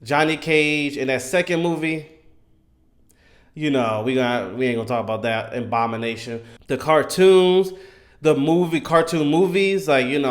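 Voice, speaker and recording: A man in his thirties giving casual, enthusiastic fan commentary in an animated, emphatic, slangy way. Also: mid-range voice. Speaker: gaming content creator.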